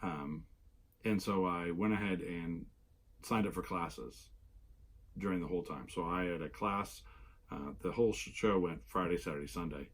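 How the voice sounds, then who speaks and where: A man, a little hoarse after losing his voice, chatting casually in a rambling way with many fillers as the host of his knitting vlog. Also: sits low, normal speed, slight background noise.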